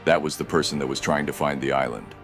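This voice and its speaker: A man's voice: a bass voice, steady tone, fast talker, fairly bright tone, soft background music, voice pushed down. A man voicing a grim, commanding masked vigilante in a terse, menacing manner for a fan story. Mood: stern command.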